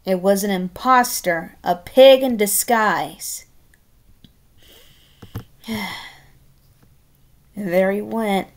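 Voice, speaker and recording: A woman reading a children's picture book aloud expressively and deliberately, with chatty asides of her own. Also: mid-range voice. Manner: expressive, deliberate, adds playful commentary. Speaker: storybook reader.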